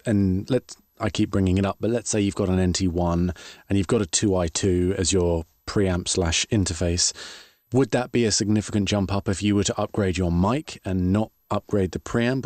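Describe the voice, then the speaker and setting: Male host, casual questioner, very low voice, dry close recording. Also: darkish tone, dry recording. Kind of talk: casual discussion.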